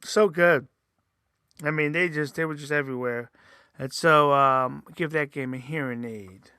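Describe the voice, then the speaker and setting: A man's voice: on the high side, unhurried, sharp tone, smooth, no room sound, clean studio sound. A man hosting a casual solo podcast, rambling with many fillers and restarts, his voice sometimes a little nasal. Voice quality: says he sometimes sounds nasal. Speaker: host.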